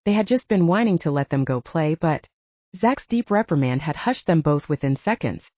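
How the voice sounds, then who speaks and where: A woman's voice: low voice, animated, moderate pace, mellow, dry recording. A woman reading scripted fiction as an audiobook narrator, in a slightly dull recording.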